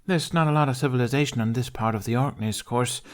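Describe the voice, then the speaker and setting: A man's voice: medium pitch, pitched above the performer's own voice, close to an everyday voice. A man voicing a brash, boastful young Viking girl thief in a storybook reading, chatty and cocky. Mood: breezy. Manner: chatty, cocky, fast-moving talk. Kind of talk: character voice in audiobook narration.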